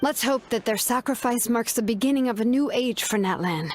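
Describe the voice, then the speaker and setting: Woman doing kind young warrior girl from a video game, highish, encouraging, conversational. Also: brisk, a little hiss or hum, lightly acted. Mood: supportive. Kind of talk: scripted video game voice acting.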